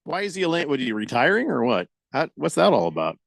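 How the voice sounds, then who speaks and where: A man's voice: medium pitch, huge pitch swings, fast, vocal fry, no room sound, clean studio sound. A man hosting a sports radio show, joking around and reading out listener comments in a playful way. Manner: jokey, reads listener comments aloud in playful voices. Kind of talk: casual sports radio chat.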